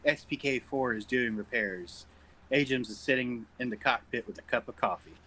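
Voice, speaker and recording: A man's voice: medium pitch, moderate pace, pushed and bright, low-quality recording. A man reading stage directions as narrator at an informal sci-fi script table read.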